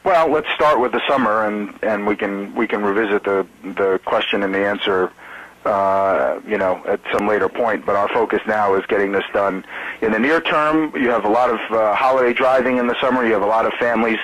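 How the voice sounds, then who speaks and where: An American man, a campaign spokesman, answering reporters on a conference call in a halting, measured way. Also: lowish voice, fairly bright tone, rough recording. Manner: halting, measured, hesitant delivery.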